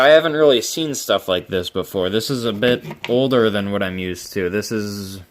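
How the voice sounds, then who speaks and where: A man giving casual, unscripted commentary as he repairs a vintage radio, thinking aloud in a halting, musing way. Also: medium pitch.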